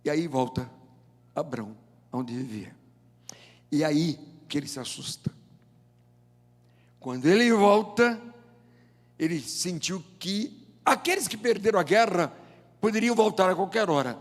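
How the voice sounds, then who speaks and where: Male pastor, 70s, Brazilian accent. Fairly high voice, measured emphatic sermon delivery, long auditorium reverb. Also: animated. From João Pessoa, Paraíba, northeast Brazil. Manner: deliberate, emphatic phrasing with rhetorical questions and pauses. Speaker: pastor, preacher. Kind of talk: sermon with scripture reading and prayer. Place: large indoor church auditorium.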